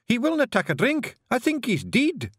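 A man reading aloud and voicing a small, fierce female fairy clan leader in a Scottish accent, coaxing and brisk. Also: a little high, expressive, no room sound, studio quality, natural voice. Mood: urgent shouting. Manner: brisk, coaxing, then shouting.

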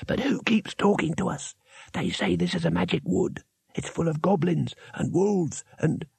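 A man's voice: moderate pace, gravelly, darkish tone, slightly rough, no room sound, clean studio sound, exaggerated voice. A man voicing a nervous young male wizard in a fantasy audiobook, anxious and jumpy. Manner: anxious, high-strung.

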